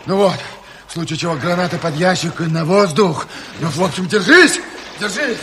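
An actor playing a brisk, fearless Bolshevik chairman who barks curt orders in a Soviet radio play. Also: sits high, animated, sharp tone. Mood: resolute. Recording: noisy 1970s radio drama recording.

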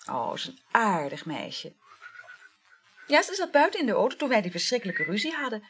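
A woman's voice: on the high side, very animated, fairly quick, a bit bright, slight background noise. A woman acting a flighty, chatty married woman having a secret affair in a naturalistic radio play, gossipy and matter-of-fact about scandal. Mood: eager, gossipy.

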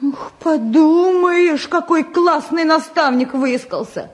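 Very high-pitched coquettish young woman. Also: slow. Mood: pouting, coy. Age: young adult.

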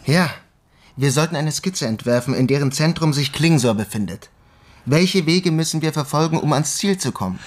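An actor playing a reserved physicist turned investigator in a German radio play, asking questions in a restrained, polite way. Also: male voice, on the high side, animated, moderate pace. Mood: businesslike.

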